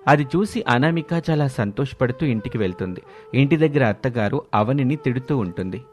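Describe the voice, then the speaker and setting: A man's voice: mid-range voice, animated, a little fast, darkish tone. A man narrating a scripted family story as its storyteller. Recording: music behind the voice.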